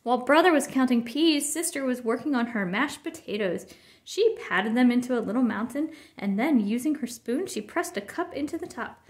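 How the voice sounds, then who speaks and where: A woman reading a children's picture book aloud in an expressive narrating style. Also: sits high, moderate pace. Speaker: storybook reader.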